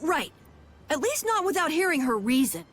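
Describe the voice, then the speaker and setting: Eager young boy hero, high-pitched, swooping intonation, sincere, game dub, faint noise. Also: moderate pace. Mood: earnest. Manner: earnest, excitable. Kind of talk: animated video game dubbing.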